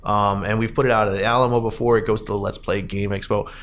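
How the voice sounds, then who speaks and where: A man's voice: lowish voice, level tone, fast, fairly bright tone. A man hosting a podcast in a casual, conversational style. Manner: chuckles often while talking. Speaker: podcast host, arcade company staff.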